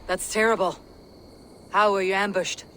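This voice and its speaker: A woman's voice: mid-range voice, level tone, normal speed, a bit bright, a little hiss or hum, lightly acted, rough recording. An actress playing a stern, resolute young Viking warrior woman in a video game, speaking tersely and with command. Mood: concerned, resolute. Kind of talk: dramatic video game acting.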